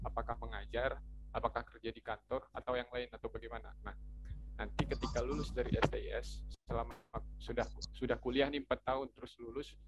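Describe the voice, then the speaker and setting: A young man with a Medan-style Indonesian accent talks in a relaxed, chatty way, often restarting his sentences. His voice is bright and sits in a middle pitch. A faint hum runs underneath the recording. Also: rough recording. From North Sumatra, Indonesia. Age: young adult. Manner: chatty, explaining with many fillers and restarts. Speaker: alumni speaker. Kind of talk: casual informational talk.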